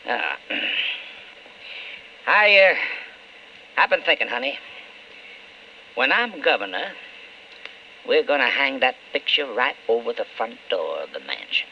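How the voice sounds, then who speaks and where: An actor playing a weak, wavering alcoholic husband and politician in an old-time radio drama, halting and emotional, often stammering. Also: male voice, medium pitch, big pitch swings. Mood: tipsy, rambling. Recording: dull, faintly noisy old radio recording.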